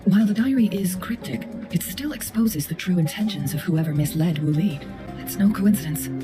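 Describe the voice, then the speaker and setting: A woman playing a composed, perceptive strategist in a video game, speaking in a measured, analytical way. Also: fairly low pitch, lightly acted. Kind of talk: scripted video game dialogue.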